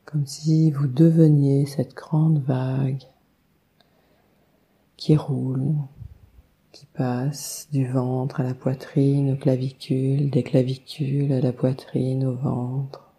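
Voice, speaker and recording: A woman leading a guided meditation, giving calm, soothing instructions with long unhurried pauses. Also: rumbling low. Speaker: yoga nidra meditation guide.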